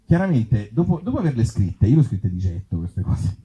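A man's voice: on the low side, sing-song, a little fast, warm tone, low-quality recording. A man talking informally on stage as an author presenting his work, wry and self-deprecating. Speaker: author and actor presenting his own book. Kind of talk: informal live stage talk. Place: outdoor festival in an olive grove.

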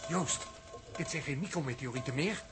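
A man's voice: fairly high pitch, moderate pace, music in the background, close to an everyday voice. A man acting an analytical, insistent spaceship crewman in a 1960s radio drama. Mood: grave reasoning. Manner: urgent, explanatory. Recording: noisy old 1960s radio recording.

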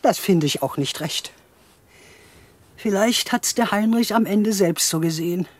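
Actress as sad loyal old widow, plain talk, lowish voice, radio play. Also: female voice, a little slow, no echo, close to an everyday voice. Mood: resigned, plaintive. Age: older. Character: plain-spoken grieving elderly widow, loyal and resigned. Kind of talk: radio drama acting.

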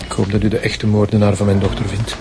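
A man's voice: fairly low pitch, flat delivery, fast, natural voice. An actor playing a grieving father in a radio drama, polite and restrained. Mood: resigned, subdued. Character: subdued grieving father of a murdered daughter. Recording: music behind the voice.

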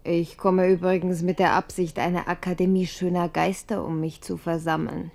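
An ageing ex-queen in a radio drama, lowish gravelly voice, elegant, knowing, bit flirty. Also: flat delivery, normal speed, a little mellow. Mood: knowing, coaxing. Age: 50s. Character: worldly former queen in her fifties, flirtatious, poised. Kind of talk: German radio play acting.

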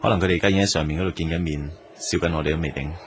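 A man's voice: rumbling low, steady tone, very fast, a little hiss or hum. A man acting as a wistful young man in a radio drama, speaking and narrating in Hong Kong Cantonese. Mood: wry, gentle.

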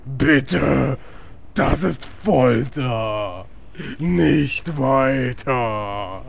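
A man's voice: mid-range voice, expressive, very slow, gravelly, full character voice. A man playing a pitiful captive orc guard in a parody audio drama, whimpering and pleading.

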